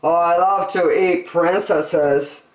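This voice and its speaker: A woman's voice: on the low side, fairly even intonation, very slow. A woman voicing a huge, boastful, busy male dragon in a storybook, pompous and emphatic. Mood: boastful, dismissive. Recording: roomy indoor echo.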